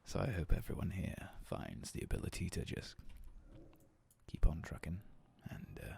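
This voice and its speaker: Male ASMR gaming host, deep and hoarse, gravelly near-whisper, soft-spoken and meandering. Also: sing-song, moderate pace, a little hiss or hum. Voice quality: coarse, gravelly voice. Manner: soft, half-whispered, rambling and self-deprecating. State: hoarse after a long work shift.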